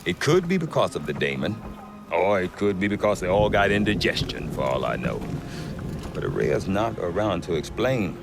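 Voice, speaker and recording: An actor playing a gruff middle-aged trader who talks with dry humor. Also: male voice, low voice, moderate pace, background noise, full character voice. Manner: wry, dry humor, lively delivery. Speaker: merchant trader. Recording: game cutscene with music behind.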